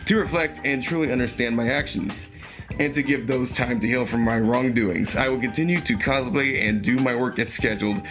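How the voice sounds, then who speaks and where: A young man whose voice is wearing hoarse talks at a mid pitch, earnest and halting, with plenty of fillers and restarts. He sits close to a webcam in a small room at home, and clear music plays behind him. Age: young adult. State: losing his voice, hoarse. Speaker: commentary YouTuber. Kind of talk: reading aloud and commenting.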